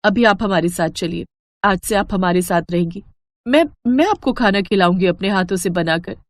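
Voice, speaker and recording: A woman voicing a gentle, caring young daughter-in-law who speaks politely and softly. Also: mid-range voice, normal speed, dry recording, clean studio sound, close to an everyday voice. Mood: tender.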